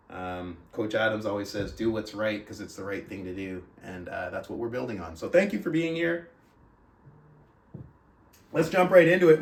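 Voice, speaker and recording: A man hosting a book club, speaking to his audience as he shares and reads a story aloud. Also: medium pitch. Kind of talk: reading a book aloud with casual commentary. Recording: roomy echo, distant from mic.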